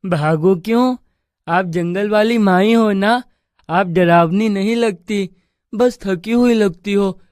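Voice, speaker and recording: A woman's voice: medium pitch, slow pace, darkish tone, no room sound, clean studio sound, natural voice. A woman voicing a kind, innocent young village boy in a storybook tale, speaking earnestly.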